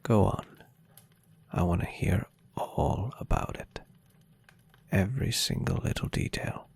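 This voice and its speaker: A man voicing a gentle, adoring, centuries-old vampire boyfriend in a soft-spoken, tender and unhurried ASMR roleplay. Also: low voice, lively intonation, full character voice. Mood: tender, affectionate. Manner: tender, breathy, unhurried, softly teasing.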